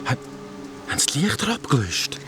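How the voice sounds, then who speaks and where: A woman's voice: a little fast, bright, forceful tone, soft background music, close to an everyday voice. A woman voicing a loyal, easily frightened young boy in a children's radio play, with a Swiss German accent. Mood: uneasy.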